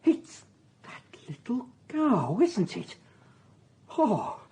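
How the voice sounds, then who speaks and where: Catty, shifty hotel proprietor. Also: male voice, very high voice, huge pitch swings, drawn-out words, a little mellow, breathy edge, exaggerated voice. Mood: indignant. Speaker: actor in a radio crime drama. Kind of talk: British radio drama acting.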